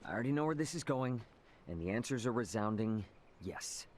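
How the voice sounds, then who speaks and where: A man's voice: mid-range voice, animated, brisk, a little hiss or hum, lightly acted. An actor playing a young male hero who talks bluntly and casually in a video game. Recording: game cutscene with music behind.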